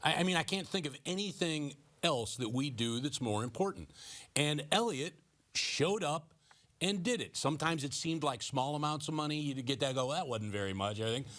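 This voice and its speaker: Older male journalist, somewhat high voice. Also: animated, moderate pace, fairly bright tone. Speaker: journalist and panelist. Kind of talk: conversational panel discussion.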